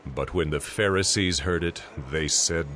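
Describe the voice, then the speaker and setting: A man narrating a dramatized scripture reading in a measured, solemn way. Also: sits low, a bit bright.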